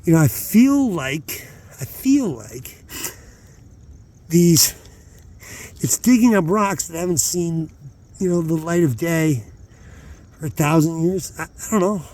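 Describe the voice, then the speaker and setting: A man's voice: on the high side, expressive, slow pace, long pauses, a little mellow. A man talking casually as host of his own outdoor vlog, hesitant and meandering, often interrupting himself. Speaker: channel host. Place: outdoors at night, rockhounding.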